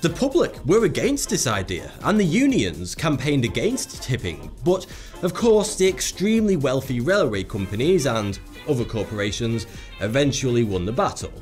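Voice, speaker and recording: A man narrates an explainer script in a sarcastic, wry tone. His pitch rises and falls in a lively way, and faint music runs under the voice. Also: normal speed.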